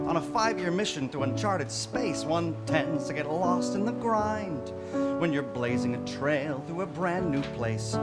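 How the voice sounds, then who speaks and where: A man playing an awkward, regretful middle-aged starship captain in a melodramatic parody stage musical. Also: on the high side. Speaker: musical theatre performer in a staged reading.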